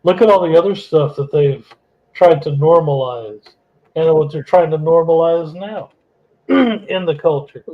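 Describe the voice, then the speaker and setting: A man's voice: fairly high pitch, measured pace, narrow, tinny audio. A man co-hosting a podcast in casual chat, opinionated, crude and rambling. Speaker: podcast co-host.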